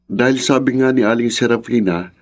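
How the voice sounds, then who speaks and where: Man acting a helpful young Filipino friend, medium pitch. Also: fairly quick, natural voice. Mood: concerned, helpful. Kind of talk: Filipino radio drama acting.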